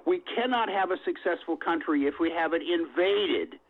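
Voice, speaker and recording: An older man calling in to a radio talk show, blunt and opinionated, with halting restarts. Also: on the high side. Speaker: caller. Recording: tinny phone line.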